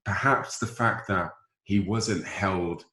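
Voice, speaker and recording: A man hosting a casual group discussion, putting probing questions in a halting way and rephrasing as he goes. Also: deep voice, pushed and bright. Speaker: host of a discussion show. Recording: roomy, echoing voice pickup.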